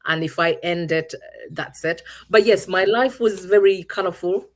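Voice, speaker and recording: A woman's voice: lowish voice, moderate pace. A middle-aged woman from Uganda, a guest in an interview, answering thoughtfully and searching for her words with frequent restarts.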